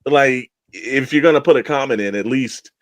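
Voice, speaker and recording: A man's voice: mid-range voice, normal speed, dry recording. A man co-hosting a podcast in casual discussion, rambling and opinionated, with an emphatic way of talking. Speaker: podcast co-host.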